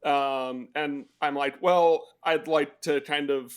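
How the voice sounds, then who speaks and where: A man co-hosting a film podcast, talking casually in a rambling way with pauses and restarts. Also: fairly high pitch, steady tone, clean tone. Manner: rambling, many fillers and restarts. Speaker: podcast co-host. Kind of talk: casual chat.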